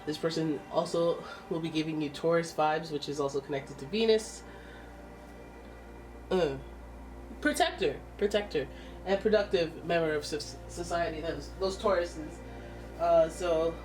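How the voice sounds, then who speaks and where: A woman's voice: low-pitched, animated, moderate pace, a little mellow, recorded from a distance, soft background music, poor audio. A woman with a stuffy nose giving a casual, chatty tarot reading, exclaiming and thinking aloud as she turns the cards. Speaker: tarot reader.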